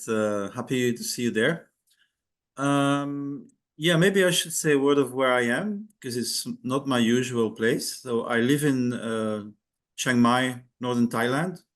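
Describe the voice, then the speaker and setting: An older man from Belgium, a guest speaker giving a reflective talk over a video call, hesitant with many fillers and restarts. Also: mid-range voice. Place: home in Thailand, video call.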